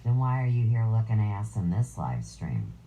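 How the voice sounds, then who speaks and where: A woman with a low voice hosting a live stream, reading viewer comments aloud with sarcastic, crude jabs. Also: very animated, moderate pace, dark tone, a little hiss or hum, poor audio.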